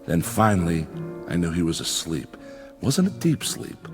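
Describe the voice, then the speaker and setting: Low-voiced, rational psychiatrist. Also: male voice, big pitch swings, a little mellow, faint music behind. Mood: pensive, concerned. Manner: deliberate, explanatory, emphatic. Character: measured, rational male psychiatrist reasoning with patient. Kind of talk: dramatic dialogue and first-person narration.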